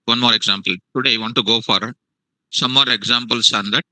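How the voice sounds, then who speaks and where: A male programming teacher, giving a live lecture to students in a classroom and online, repeating phrases slowly for dictation. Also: mid-range voice, fairly even intonation, bright, forceful tone, no echo, poor audio. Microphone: online meeting.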